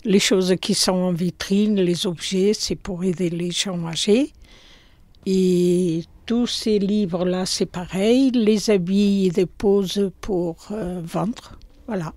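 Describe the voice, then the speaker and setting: An older woman from Portugal with a Portuguese accent, interviewed in her small shop, speaking slowly and hesitantly with many fillers. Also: medium pitch, fairly even intonation, darkish tone, dry recording. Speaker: shopkeeper.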